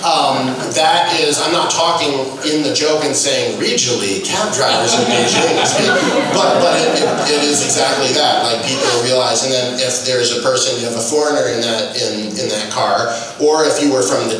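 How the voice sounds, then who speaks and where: A man's voice: mid-range voice, normal speed, few pauses, crowd noise. A man who does stand-up comedy, telling stories animatedly on a discussion panel. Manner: animated storytelling, laughs through jokes. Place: large echoey event hall. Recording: echoey hall from far off, background noise.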